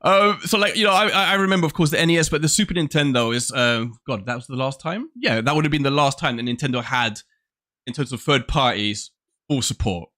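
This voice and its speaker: 40 something guy hosting a gaming podcast, bright voice, restarts a lot. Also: medium pitch, fairly quick. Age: 40s. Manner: rambling, many restarts, laughs often. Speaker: podcast host. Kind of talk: casual video game chat.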